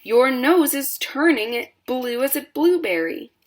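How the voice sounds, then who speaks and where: A woman voicing a panicked middle-aged mother who screams in a high, shrill voice. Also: expressive, unhurried.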